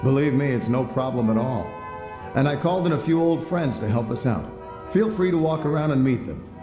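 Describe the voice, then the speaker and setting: A man's voice: mid-range voice, lively intonation, a little fast, close to an everyday voice. A man playing a proud, easygoing, self-satisfied billionaire host in a video game cutscene. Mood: obliging. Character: rich, proud, breezy male billionaire host. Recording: roomy echo, long reverb, music.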